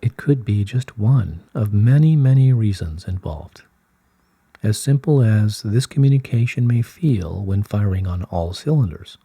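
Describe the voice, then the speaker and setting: A man reads spiritual guidance in a low, very mellow voice with a soft, breathy grain. He takes his time, speaking very slowly and solemnly in measured, soothing phrases. The sound is dry and close. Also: expressive, no echo. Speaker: reader of channelled spiritual messages. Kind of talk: slow scripted reading of spiritual guidance.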